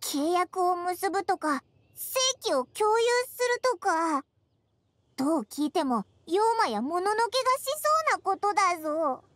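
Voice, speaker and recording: A woman voicing a small childlike fairy companion in an anime game, squeaky, brash and easily offended. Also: animated, a little slow, fairly bright tone, a little hiss or hum, heavily acted. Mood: doubtful. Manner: brash, exclamatory, refers to self cutely. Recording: studio voice over background music.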